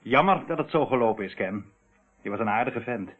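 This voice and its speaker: A slippery crook playing innocent, voice goes all up and down, smug, old radio play, tinny. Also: male voice, mid-range voice, animated, brisk, slightly rough. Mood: smug, menacing. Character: smug, slippery male criminal feigning innocence. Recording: tinny, narrow-band old radio recording.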